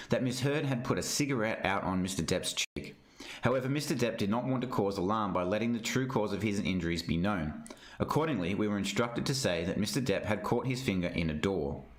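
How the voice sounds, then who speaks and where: A man with a fairly low voice reads a formal document aloud. His delivery is measured and scripted, and the recording has a clearly roomy echo around the voice. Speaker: reader of a legal document. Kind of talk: formal read-aloud narration of a witness statement. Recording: roomy, echoing sound.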